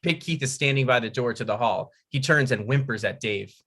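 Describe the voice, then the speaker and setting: A man's voice: mid-range voice, fairly quick, fairly bright tone. A man reading out scripted stage directions as narrator at a comedy table read.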